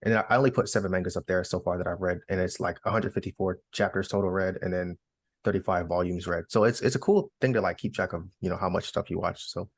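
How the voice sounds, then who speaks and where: A man's voice: sits low, quick pace, dry recording. A man chatting casually as a podcast co-host in an informal, slangy way. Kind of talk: casual chat.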